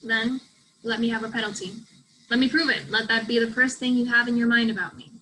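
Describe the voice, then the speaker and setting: A woman acts out a guarded female international student in a stage drama reading. Her voice is somewhat high, with self-doubt under a tough front. Faint noise sits on the recording. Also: fairly even intonation, a little fast, pushed and bright, rough recording. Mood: defiant. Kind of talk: virtual staged play reading. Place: remote virtual reading over video call.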